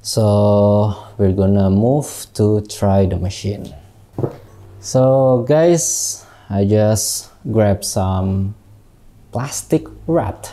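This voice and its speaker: A young Indonesian man with an Indonesian accent, a tattoo artist, unboxing gear in halting English, recorded on a lapel mic in a small studio. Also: lowish voice, mellow. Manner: unhurried, halting non-native English with many fillers. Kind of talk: casual product unboxing.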